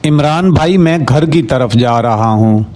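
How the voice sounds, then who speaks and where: A man's voice: mid-range voice, a little slow, a little mellow, natural voice. A man voicing a poor, patient, devout village father in a cartoon story. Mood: patient, resigned.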